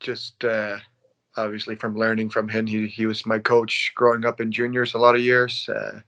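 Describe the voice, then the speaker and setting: A man in his late twenties from Denmark speaks in a low, very even voice. He answers interview questions casually, with a laid-back, quiet manner, as a professional athlete would. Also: moderate pace. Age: late 20s. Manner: laid-back, not loud. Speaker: professional ice hockey player being interviewed.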